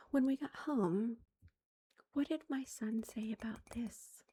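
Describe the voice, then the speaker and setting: A woman in her thirties, a mother, telling a story in a soft whisper, chatty and amused. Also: fairly high pitch, slow pace, husky.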